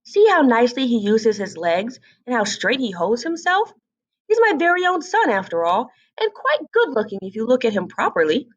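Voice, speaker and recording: Storybook mother duck, played by a woman: proud, bossy, high and swooping, slightly muffled recording. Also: big pitch swings, normal speed, distant mic. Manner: exclaiming, instructing, chatty.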